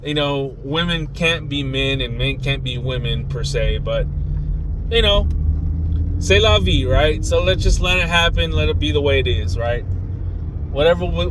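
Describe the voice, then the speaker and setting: A man's voice: fairly high pitch, animated, unhurried, long pauses, background noise. A man hosting a casual commentary, blunt and rambling with lots of fillers. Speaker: commentary host.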